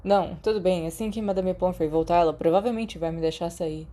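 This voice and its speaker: A woman with a Brazilian accent voicing an earnest, awkward teenage boy in an audiobook, halting and agreeable. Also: fairly low pitch, mellow, natural voice. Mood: agreeable, unsure. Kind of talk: audiobook character dialogue.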